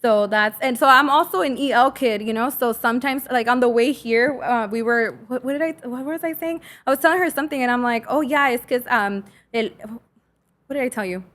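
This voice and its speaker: A woman with an American accent and a fairly high voice, who grew up with Spanish as her first language. She talks as a teacher guest on a podcast, casual and candid, with an animated way of telling things. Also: brisk. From San Fernando area, California. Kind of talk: casual conversational interview.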